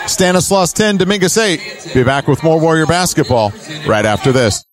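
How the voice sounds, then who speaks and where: Higher-voiced man calling live basketball. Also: expressive, normal speed. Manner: clipped running phrases, emphatic calls. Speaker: basketball play-by-play announcer. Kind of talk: live sports commentary. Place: courtside at an indoor basketball game.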